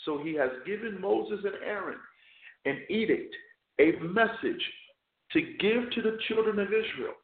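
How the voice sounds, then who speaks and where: A man preaching a radio sermon as chaplain and host, hoarse and losing his voice, often stopping to restart or repeat words. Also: sits high, expressive, measured pace. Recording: tinny phone line, echoey.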